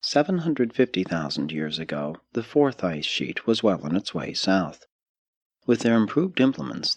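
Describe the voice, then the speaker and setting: A man reading a scripted audiobook narration in a measured, formal way with clearly phrased sentences. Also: sits low, no echo. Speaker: audiobook reader.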